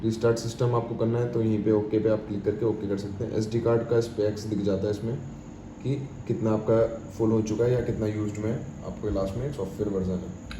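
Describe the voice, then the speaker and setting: An echoey, noisy room recording of an Indian man with a fairly low voice. He walks through a product step by step in an informal, explanatory way. He repeats himself and drops English terms into his speech. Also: little pitch movement, brisk. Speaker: product demonstrator. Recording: echoey room with clear background noise.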